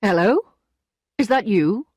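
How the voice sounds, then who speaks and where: A woman reading an audiobook, voicing a calm, detached gentleman who speaks quietly and smoothly. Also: mid-range voice, takes it slowly, dry recording, dull audio. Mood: cool. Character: smooth, cool gentleman, former lover.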